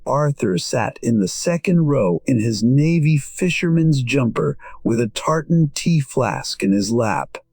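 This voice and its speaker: An actor playing a gentle, wistful musician in his sixties, narrating an audio drama in short, measured, reflective sentences. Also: mid-range voice, vocal fry, husky, dry recording, full character voice. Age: 60s. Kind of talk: first-person scripted audio drama narration. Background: faint music.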